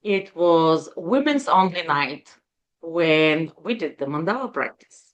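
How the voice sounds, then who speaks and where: A woman in her forties with a Bulgarian accent, a guest telling her story in an interview, hesitant with many pauses. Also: sits low, animated, lo-fi sound. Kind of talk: interview conversation.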